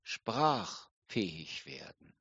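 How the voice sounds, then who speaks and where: Slow, deliberate preacher. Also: male voice, on the low side, very animated, bright, forceful tone, breathy edge, no echo, highs cut off. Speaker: pastor. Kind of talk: sermon.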